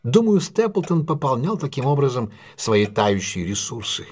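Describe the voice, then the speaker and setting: An actor playing a composed, analytical male detective in an audio drama, explaining things in a deliberate, self-assured way. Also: mid-range voice, big pitch swings, a little mellow. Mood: composed, explanatory. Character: composed, analytical male English detective recounting a solved case.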